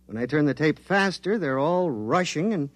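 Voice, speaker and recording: A man's voice: a little high, expressive, moderate pace, natural voice. An actor in a 1950s radio drama playing an earnest male linguist briefing reporters about contact with aliens. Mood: puzzled.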